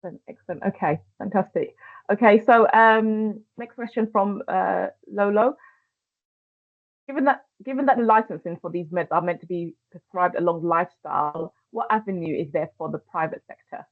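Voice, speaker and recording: A woman hosts a webinar and reads out audience questions in a brisk, upbeat way. Her voice comes through thin, phone-like video-call audio. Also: mid-range voice. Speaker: webinar host.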